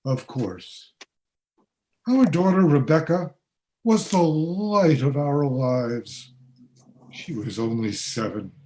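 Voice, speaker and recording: Wistful father of a past century , played by a man, radio drama, lightish pitch, unhurried and mournful. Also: a little mellow. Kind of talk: radio play acting.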